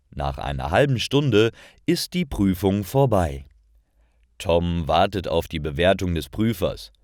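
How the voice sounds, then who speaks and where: A man reading a scripted story for language learners, with careful, clearly separated phrasing. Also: low-pitched, a little mellow, dry recording, studio quality. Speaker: narrator of language-learning stories.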